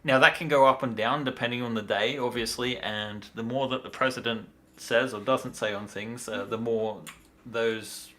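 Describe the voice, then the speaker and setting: A man's voice: mid-range voice, steady tone, moderate pace, bright, forceful tone. A tired man talking casually and in a rambling way as he hosts a livestream demo. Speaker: livestream host, miniature designer.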